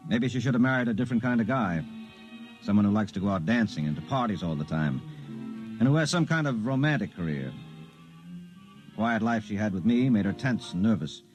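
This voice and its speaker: A man's voice: lowish voice, lively intonation, a little fast, darkish tone, faint music behind, lightly acted. An actor playing a mild, henpecked investigator in his forties, conversational and earnest, in a 1950s radio drama. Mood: wry, reflective. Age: 40s. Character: mild, henpecked middle-aged male accountancy investigator, earnest and dutiful. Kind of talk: 1950s radio drama acting and first-person narration.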